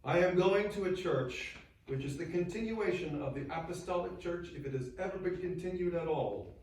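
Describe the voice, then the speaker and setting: An actor playing a 19th-century churchman bidding friends a sorrowful, earnest farewell, in a solemn, deliberate theatrical reading. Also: high-pitched, darkish tone, slight background noise, natural voice. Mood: resolved. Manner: solemn, deliberate, emotive, oratorical with weighted pauses. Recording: very echoey space, distant microphone.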